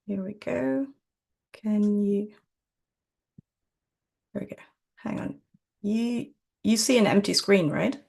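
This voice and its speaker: Woman, seminar host, unhurried, gravelly, hesitant explainer, video call. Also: mid-range voice, a little mellow. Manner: explanatory, hesitant, pausing often to find words. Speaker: journal club presenter and host. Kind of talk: informal online seminar presentation.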